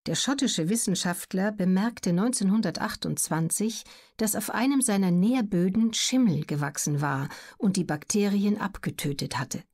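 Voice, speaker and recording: A woman narrating scripted educational documentary material as an audiobook reader, measured and carefully articulated. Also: mid-range voice, darkish tone.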